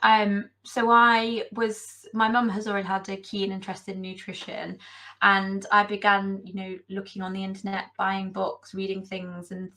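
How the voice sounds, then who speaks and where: A young woman, a nutritional therapist, talking on a video call with a hoarse, gravelly voice from a cold, chatty with many fillers. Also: medium pitch, completely flat delivery, moderate pace, pushed and bright. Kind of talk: casual video call interview.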